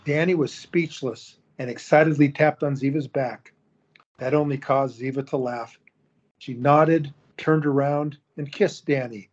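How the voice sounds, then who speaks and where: A man narrating an audio drama in a steady storytelling manner. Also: a little high, unhurried. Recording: dull lo-fi recording.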